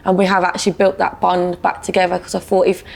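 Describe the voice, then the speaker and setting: A woman's voice: fairly low pitch, completely flat delivery, quick pace, creaky, darkish tone. A woman with a British accent, a podcast guest speaking candidly and matter-of-factly about her own life. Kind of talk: personal testimony in conversation.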